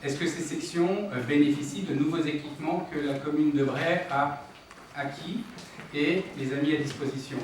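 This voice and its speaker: A man's voice: a little high, moderate pace. A man arguing as a local official in a council debate, insistent and emphatic, repeating his points. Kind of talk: political debate, explaining and arguing. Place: indoor municipal council meeting. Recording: very echoey room, distant mic, noisy.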